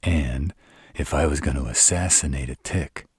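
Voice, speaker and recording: A man playing a gruff, wisecracking, loyal shapeshifter bodyguard who talks bluntly in a roleplay audio drama. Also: a bass voice, normal speed, no room sound, studio quality, full character voice. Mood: worried, reluctant. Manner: blunt, colloquial, sardonic.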